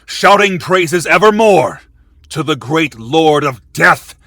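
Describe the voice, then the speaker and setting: A man's voice: on the high side, lively intonation, slow pace, pushed and bright, no room sound, studio quality, voice pushed up, full character voice. A man voicing a sinister, fanatical warlock cult leader who preaches in a grandiose, ritual way for a fantasy audio story. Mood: fervent, exultant.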